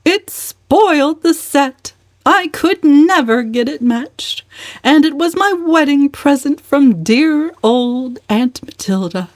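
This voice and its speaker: Woman doing a fussy older auntie from a storybook, really high voice, plaintive and dramatic, close dry sound. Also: expressive, takes it slowly, dry recording. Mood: lamenting. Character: fussy older aunt lamenting a lost heirloom spoon. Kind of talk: dramatic audiobook character voice.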